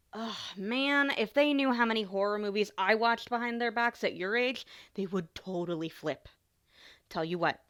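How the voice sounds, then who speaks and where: A woman's voice: medium pitch, fairly bright tone, lightly acted. Woman acting as a teasing, caring big sister. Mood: playful, casual. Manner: playful, informal, sighs and small laughs. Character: teasing, caring older sister, young adult, protective and candid. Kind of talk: ASMR roleplay, casual one-sided chat to the listener.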